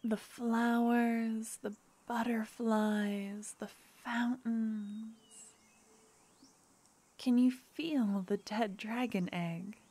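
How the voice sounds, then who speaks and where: A woman voicing a gentle young necromancer girlfriend in an ASMR fantasy roleplay, speaking softly and often whispering. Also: medium pitch. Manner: soft and intimate, often whispering.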